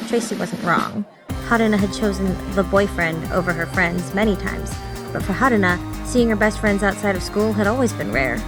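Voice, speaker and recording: A woman reading scripted story narration as an audiobook narrator, with background music. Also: medium pitch. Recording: music behind the voice.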